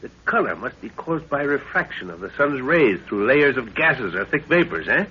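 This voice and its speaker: A man's voice: mid-range voice, very animated, moderate pace, bright, forceful tone, breathy edge, full character voice. An actor playing an eager, authoritative middle-aged scientist explorer and father in a 1930s radio adventure. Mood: excited wonder. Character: Lorna's father, excitable older scientist explorer. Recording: scratchy muffled 1930s radio recording.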